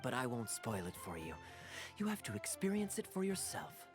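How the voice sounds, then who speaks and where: An actor voicing a suave, easygoing adventurer in a video game, with playful charm and teasing, smooth phrasing. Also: male voice, medium pitch, huge pitch swings, moderate pace, soft background music. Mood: suave, courteous.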